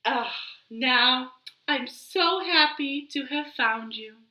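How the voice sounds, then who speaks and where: A woman's voice: high-pitched, takes it slowly, sharp tone, pitched above the performer's own voice, exaggerated voice. A woman voicing a doting, affectionate mother alligator in a children's storybook, cooing and drawing out her words. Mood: tender, overjoyed. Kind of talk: children's storybook read-aloud. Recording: echoey living room.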